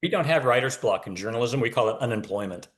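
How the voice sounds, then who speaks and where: A man's voice: medium pitch, expressive, fast. A man talking casually as an author guest, wry and opinionated, telling anecdotes and giving advice.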